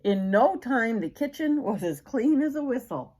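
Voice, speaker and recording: Animated older woman reading, slightly husky. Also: a little high, normal speed. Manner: expressive, dramatic emphasis with asides and questions to young listeners. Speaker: grandmother reading a picture book aloud. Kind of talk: children's storybook read-aloud.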